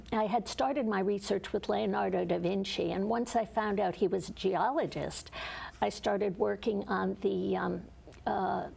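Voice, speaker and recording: A middle-aged woman from Connecticut answers interview questions about her work. Her voice is mid-pitched with a strong, constant vocal fry, and she talks earnestly, stopping often for ums and restarts. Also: fairly even intonation, measured pace, a little mellow. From Connecticut, USA. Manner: earnest, with frequent fillers and restarts. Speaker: author and geologist, guest.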